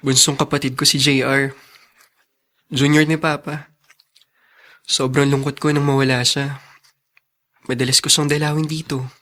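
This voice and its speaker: Man as a bright, kindly big brother. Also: mid-range voice, little pitch movement, normal speed, pauses often, natural voice. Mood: wistful, tender. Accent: Filipino. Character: kind, protective older brother, fond and open. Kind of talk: Filipino radio drama acting.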